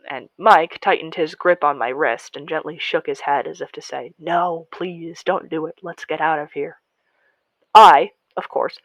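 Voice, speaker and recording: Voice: medium pitch, fairly bright tone, dry recording, low-quality recording. A narrator telling a first-person story in a podcast-style audio drama, confiding and dramatic. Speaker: narrator in character.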